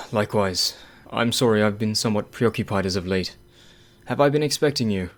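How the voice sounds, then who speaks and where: A man's voice: fairly low pitch, moderate pace, no echo, studio quality, natural voice. Weary, skeptical doctor in mourning acted by a man. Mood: weary, mournful. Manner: formal, weary, stubborn. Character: grieving, skeptical male doctor running a sanitarium. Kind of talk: radio play acting.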